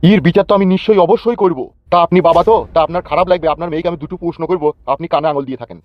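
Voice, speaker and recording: A man's voice: a little high, racing, no room sound, muffled recording, close to an everyday voice. A man playing a bossy, crooked, foul-mouthed village politician in a cartoon comedy, blustering and commanding. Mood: stern, self-important. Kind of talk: Bengali cartoon comedy dialogue.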